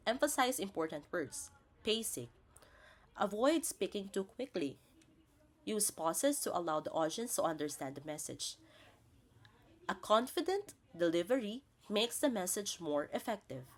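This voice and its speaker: A woman's voice: fairly low pitch, big pitch swings, normal speed. A woman presents a scripted lesson as a teacher, spelling out each point in a didactic, step-by-step way. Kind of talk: scripted instructional narration.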